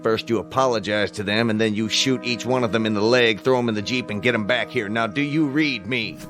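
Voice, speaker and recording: An actor playing a commanding army captain in a radio drama, authoritative, clipped and sardonic. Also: male voice, lowish voice, a little fast, close to an everyday voice. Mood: stern. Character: stern, exasperated, commanding male National Guard captain. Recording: music bed under radio drama dialogue.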